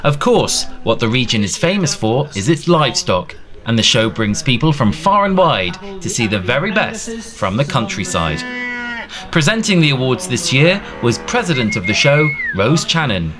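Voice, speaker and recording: A man narrating a local news report in an upbeat, lively way. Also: medium pitch, moderate pace, over music.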